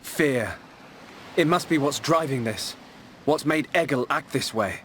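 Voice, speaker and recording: An actor playing a thoughtful young hero in a video game, with an earnest, reflective manner. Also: male voice, medium pitch, lively intonation, measured pace, fairly bright tone, a little hiss or hum. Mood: pensive. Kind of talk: dramatic video game voice acting.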